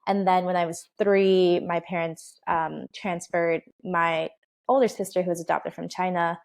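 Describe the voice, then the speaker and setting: A woman's voice: lowish voice, steady tone, measured pace, creaky. A woman in her twenties, raised in the United States, chatting as an interview guest in a bubbly way with frequent laughs and fillers. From United States (Oregon). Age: 20s. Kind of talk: casual interview chat.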